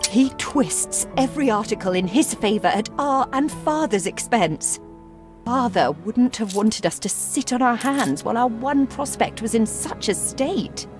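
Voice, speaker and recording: A woman playing a proud, sharp-tongued, brisk young gentlewoman in a video game, speaking in a crisp, formal manner. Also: fairly high pitch, expressive, soft background music. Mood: indignant. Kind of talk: video game character dialogue.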